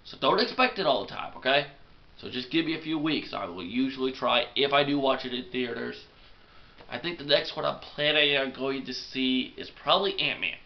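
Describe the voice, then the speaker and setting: A young man, rambling excitedly through a casual movie review from his bedroom while fighting a cold. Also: medium pitch, normal speed, vocal fry, dull audio. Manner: excitable, rambling, self-deprecating. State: congested with a cold. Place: small bedroom at night.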